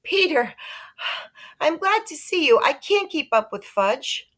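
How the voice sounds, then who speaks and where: A woman plays a storybook mother, doting and a little harried. Her voice is bright and lifted, coaxing as it swoops up and down. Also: sits high, big pitch swings, takes it slowly, pitched above the performer's own voice, heavily acted. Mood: relieved. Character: doting, harried mother of two young boys.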